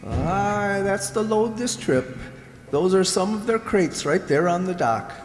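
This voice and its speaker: A man's voice: high-pitched, lively intonation, measured pace. A man acting an affable, folksy ship's skipper in an old-time radio drama, full of exclamations. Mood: affable, puzzled. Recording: echoey reverberant hall recording.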